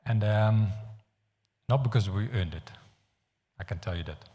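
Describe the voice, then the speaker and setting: Dutch male preacher, low mellow voice, earnest and halting, long church reverb. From Netherlands. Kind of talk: sermon. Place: church hall.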